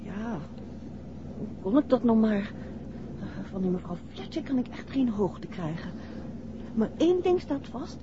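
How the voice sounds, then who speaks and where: Through the dull hiss and rumble of a 1960s radio play comes a detective's wife, fairly high and very animated. She sounds sharp, curious and playful. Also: measured pace, pauses often. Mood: spirited. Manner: curious, spirited. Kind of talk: 1960s radio drama acting.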